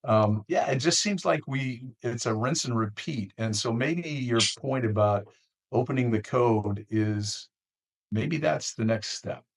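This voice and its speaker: American male podcast host, medium pitch. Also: measured pace. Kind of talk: conversational interview.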